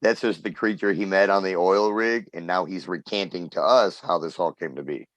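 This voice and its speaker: A man's voice: low voice, normal speed, dry recording. A man chatting casually with fellow cast members in a wry, joking way. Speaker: cast member in a post-show discussion. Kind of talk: casual group conversation.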